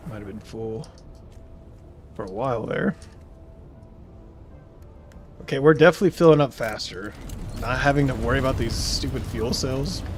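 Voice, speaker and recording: A man's voice: on the high side, background noise. Chatty thirties man streaming a game. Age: 30s. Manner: rambling, chatty, reads and answers viewer chat. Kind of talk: casual live-stream chat while gaming.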